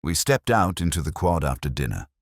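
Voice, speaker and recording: A man narrating a scripted first-person detective story in a measured, literary, brooding way. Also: extremely low pitch, very animated, breathy edge, no room sound, clean studio sound.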